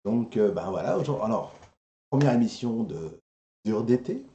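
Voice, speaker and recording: A man's voice: fairly low pitch, animated, warm tone, highs cut off. Man hosting a casual show, echoey room. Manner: informal and joking, with loose, halting phrasing. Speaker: host of an online anime and geek talk show. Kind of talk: casual live-stream chat. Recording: roomy, echoing sound.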